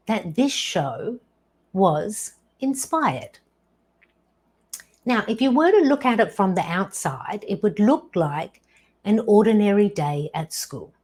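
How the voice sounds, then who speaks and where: A woman in her fifties hosting her own show, telling a personal story slowly and deliberately, with dramatic pauses. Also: mid-range voice, very animated, a little mellow, slightly rough. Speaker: podcast host.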